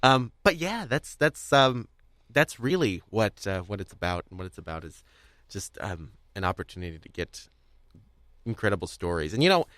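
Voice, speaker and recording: A man hosting a conversational podcast interview, speaking in a halting, rambling way as he searches for words. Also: on the low side, expressive, a bit bright, no echo, studio quality. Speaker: podcast host.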